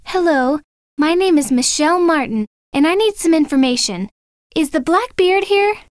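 A woman plays a curious young female detective from a storybook mystery. Her voice sits high and is recorded close and dry. She speaks each line deliberately and with keen expression. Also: lively intonation, no room sound. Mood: polite, inquiring. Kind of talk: audiobook character dialogue.

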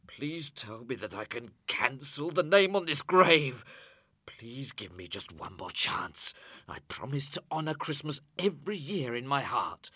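An actor voicing a frightened, remorseful old miser from a storybook, pleading in anguish. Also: male voice, on the high side, bright, forceful tone, dry recording, clean studio sound, full character voice.

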